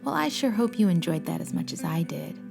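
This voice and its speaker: A woman's voice: fairly low pitch, very animated, fairly quick, vocal fry, music in the background. A woman reading a children's storybook aloud as host, with dramatic, playful emphasis. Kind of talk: expressive scripted storybook narration.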